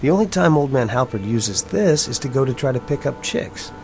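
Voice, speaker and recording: A man acting a brash working-class young guy with a chip on his shoulder. His voice is gravelly and a bit high, full of cocky street slang, in a radio drama with music clearly behind him. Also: expressive, a little fast, a little mellow, lightly acted. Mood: wheedling.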